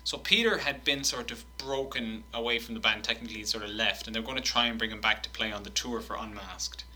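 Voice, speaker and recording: A man in casual chat, reading long quotes aloud with animated delivery and laughing now and then. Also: on the low side, quick pace, slight background noise.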